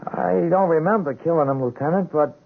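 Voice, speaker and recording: An actor in a 1970s radio drama playing a hapless, befuddled small-time drunkard who stammers evasively in streetwise speech. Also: male voice, on the high side, brisk, creaky. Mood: bewildered, protesting. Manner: stammering, hesitant, streetwise bad grammar. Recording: tinny, band-limited old radio broadcast.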